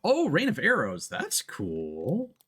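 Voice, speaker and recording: A man giving casual live gameplay commentary as a streamer, often thinking out loud in a halting way. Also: a little high, huge pitch swings, slightly rough. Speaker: game streamer.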